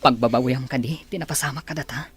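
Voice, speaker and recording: A man's voice: mid-range voice, fast, husky. An actor playing an insistent, secretive young male lover, speaking earnestly in a melodramatic radio drama. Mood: insistent, soothing. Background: dramatic background music.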